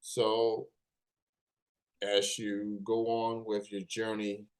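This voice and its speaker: A man's voice: on the low side, completely flat delivery, extremely slow, a little mellow. Upbeat male interview host. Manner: upbeat, filler-heavy questions. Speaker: podcast host. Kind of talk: casual interview.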